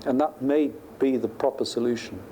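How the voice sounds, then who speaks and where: Man, professor, dark mellow voice, mid pitch, conversational technical lecture, faintly noisy room recording. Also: normal speed. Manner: conversational, thinking aloud. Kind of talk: technical lecture question-and-answer, informal explaining.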